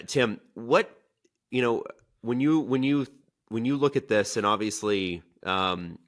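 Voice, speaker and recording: A man's voice: mid-range voice, fairly bright tone. A man in his forties hosting a casual podcast over a video call, rambling and asking long, winding questions. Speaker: podcast host. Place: indoor studio room. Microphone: earbud mic, video call.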